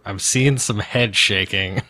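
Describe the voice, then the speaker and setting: A man's voice: lowish voice, slow pace, pushed and bright. A man chatting casually as a player at a tabletop game session.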